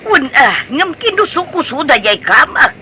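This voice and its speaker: A shrill, fussy old Ilocano mother. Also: big pitch swings, moderate pace, pushed and bright, a little hiss or hum, exaggerated voice. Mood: scolding. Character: fussy, scolding older mother, doting but nosy. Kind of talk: Ilocano radio comedy drama acting.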